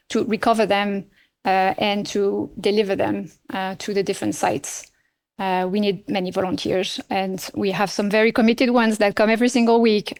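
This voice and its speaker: Middle-aged woman, native French speaker, French accent; podcast guest, measured and thoughtful with hesitations. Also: medium pitch, a little mellow. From France. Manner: measured, many fillers and restarts. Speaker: nonprofit CEO, guest. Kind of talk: podcast interview.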